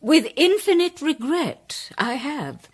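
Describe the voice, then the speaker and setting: A woman's voice: high voice, big pitch swings, full character voice. An actress playing a self-important woman playwright who presents her case in a dramatised mystery with grandiloquent, declamatory speeches. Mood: grave, dramatic. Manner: declamatory, grandiloquent, deliberate emphasis. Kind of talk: dramatised audio mystery, formal speech-making.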